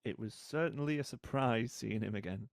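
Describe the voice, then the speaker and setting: A man's voice: medium pitch, vocal fry, no echo, close to an everyday voice. Guy playing a taunting british brother out for revenge, cold and mocking, radio drama, super dry sound. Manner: mocking, unhurried, goading. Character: taunting, cold, vengeful brother accused of violent crime. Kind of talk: audio drama acting.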